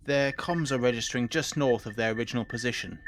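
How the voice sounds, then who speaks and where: Man acting a cautious station crewman, medium pitch, audio drama, clearly noisy background. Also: fast, fairly bright tone, natural voice. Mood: matter-of-fact.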